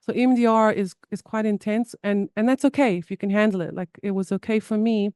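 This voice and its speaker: A woman's voice: mid-range voice, fairly even intonation, a little fast, darkish tone, no echo, studio quality. A woman with a South African accent, a therapist speaking earnestly in an interview, often restarting and repeating words. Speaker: guest, somatic therapist. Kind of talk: conversational interview.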